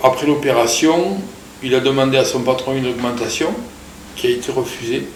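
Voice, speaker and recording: A man in his fifties, born in Portugal, recalling his family's past in a relaxed, halting way with many pauses and fillers. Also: medium pitch, roomy sound, a little hiss or hum, rough recording. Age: 50s. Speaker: interviewee telling his family's migration story. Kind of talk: casual spoken recollection.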